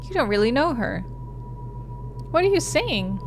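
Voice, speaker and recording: A woman's voice: sits high, big pitch swings, measured pace, voice pushed up, exaggerated voice. Woman voicing an anxious big sister, noisy background. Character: distressed, protective teenage big sister, heroine. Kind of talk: visual novel dialogue read aloud.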